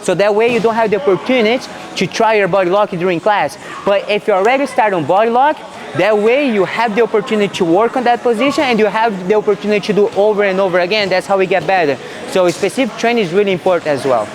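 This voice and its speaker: A man's voice: high voice, fast talker. A man in his twenties with a Brazilian accent, a jiu-jitsu instructor talking casually and earnestly, with encouraging emphasis. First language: Portuguese. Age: 20s. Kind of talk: casual instructional talk to camera and class. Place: large indoor martial arts gym. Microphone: small mic clipped to chest.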